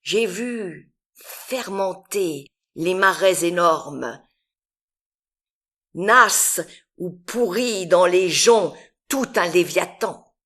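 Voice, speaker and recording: Woman reciting poetry very slowly. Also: lowish voice. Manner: expressive, deliberate, with long pauses between phrases. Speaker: poetry reader. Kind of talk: dramatic literary poetry recitation.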